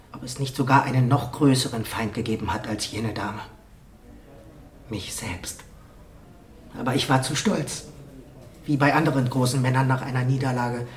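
An actor playing a proud, lovelorn naval captain in a period radio drama, formal and earnest in manner. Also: male voice, mid-range voice, slight background noise, close to an everyday voice.

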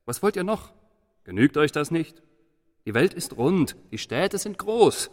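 An actor playing a commanding herald in a verse radio play, with emphatic, declamatory delivery. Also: male voice, fairly high pitch, a bit bright. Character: commanding male herald hushing a city crowd. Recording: long hall reverb, faint music.

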